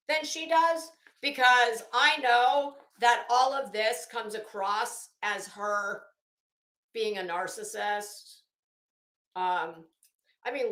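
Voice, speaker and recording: A middle-aged woman giving casual live commentary in a halting, deliberate, emphatic way. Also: medium pitch, fairly bright tone. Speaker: YouTube commentator and host. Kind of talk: casual live commentary with document read-outs. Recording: echoey room.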